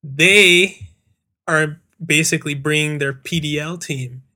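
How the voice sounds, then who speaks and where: A man hosting a soccer discussion, giving measured, hedging analysis. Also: on the high side, fairly even intonation, bright, forceful tone. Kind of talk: casual chat.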